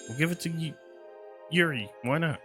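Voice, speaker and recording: A man does casual live game commentary with playful, slangy reactions to what is on screen. Game music plays clearly behind him. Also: a little high, moderate pace, sharp tone. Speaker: game streamer.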